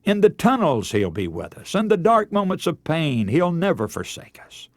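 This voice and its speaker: A man preaching a radio sermon in earnest, measured oratory, moving between scripture and exhortation. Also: on the high side, sing-song, no echo, clean studio sound.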